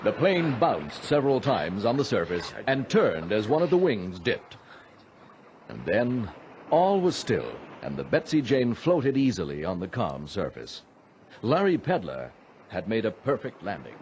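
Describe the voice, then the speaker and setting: A man's voice: fairly low pitch, huge pitch swings, a little slow, a little mellow, heavily acted. A man playing the dramatic storyteller who narrates a scripted radio adventure. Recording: slightly noisy vintage radio drama.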